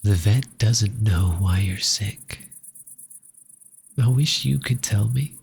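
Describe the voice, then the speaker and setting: Breathy, low-voiced man acting a grieving lonely orphan. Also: darkish tone, full character voice. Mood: soft, worried affection. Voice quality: breathy, often dropping into whisper. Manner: slow, halting, intimate. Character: grieving, tender lonely orphan comforting a sick pet cat. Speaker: voice actor in audio roleplay. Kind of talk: soft ASMR roleplay acting.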